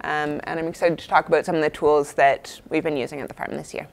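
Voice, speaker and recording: A woman's voice: deep voice, flat delivery, fast talker, gravelly. A young woman farm worker introducing herself hesitantly at a talk. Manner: hesitant, with many ums. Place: large indoor hall with audience.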